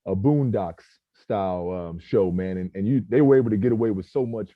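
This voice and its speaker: A man with a low voice talking fast in casual chat, tripping over his words and tossing in "man" again and again. The sound is dull and lo-fi, with a dry, close feel. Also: dry recording. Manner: halting restarts, keeps saying "man". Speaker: cast member of a table read.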